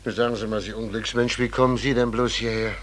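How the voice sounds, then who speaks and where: An actor playing a composed fortress commandant in a 1940s German radio play, questioning with deliberate authority. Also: male voice, on the low side, flat delivery, natural voice. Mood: interrogating. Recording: noisy old 1940s radio recording.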